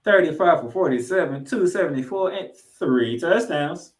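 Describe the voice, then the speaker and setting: A man co-hosting a sports podcast, recapping football and reading stats with emphatic, drawn-out key figures. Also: on the high side, animated. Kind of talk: football recap commentary with stat read-outs. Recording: echoey, roomy sound.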